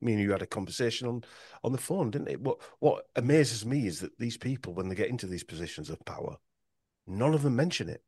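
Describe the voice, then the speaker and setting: Low-voiced Yorkshire man, rambling. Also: lively intonation, fast talker, darkish tone, breathy edge, no room sound. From Yorkshire, England. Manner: rambling with frequent restarts and stumbles. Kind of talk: podcast conversation.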